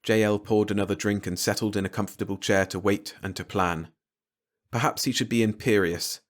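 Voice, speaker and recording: A man's voice: lowish voice, fairly even intonation, fairly quick. A man reading a short story aloud as a scripted audiobook narration. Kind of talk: scripted story narration, bedtime read-along.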